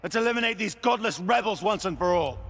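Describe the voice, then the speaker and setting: A man's voice: high-pitched, lively intonation, quick pace, vocal fry, fairly bright tone, heavily acted. A man playing a commanding, fair-minded senior knight with a formal, authoritative manner in a period video game. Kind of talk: dramatic period game acting.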